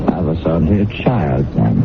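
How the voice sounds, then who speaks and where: An actor playing a mysterious, evasive castle wanderer, measured and formal, in 1970s radio drama style. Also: male voice, very deep voice, lively intonation, vocal fry, over loud music, exaggerated voice. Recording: tinny old radio broadcast.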